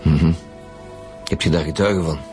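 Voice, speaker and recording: An actor playing a stern, sceptical middle-aged police inspector with a gravelly voice in a naturalistic radio drama. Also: male voice, very deep voice, moderate pace, music in the background. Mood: probing.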